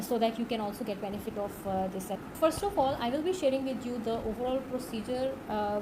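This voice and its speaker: A woman tutor speaking English with a Pakistani accent, her first language being Urdu. She talks earnestly and without hurry, pausing often on filler sounds, at a middling pitch. A clear layer of background noise sits under her voice. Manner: earnest, unhurried, many filled pauses. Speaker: online course tutor. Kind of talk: direct-to-camera teaching talk.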